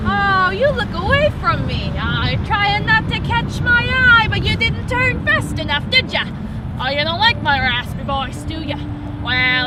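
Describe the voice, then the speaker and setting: An actress playing an eccentric street woman who is defiantly proud of her madness, speaking with a raspy voice and rambling as she needles passersby directly in a one-woman stage monologue. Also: high-pitched. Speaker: stage actor. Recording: distant voice under loud music.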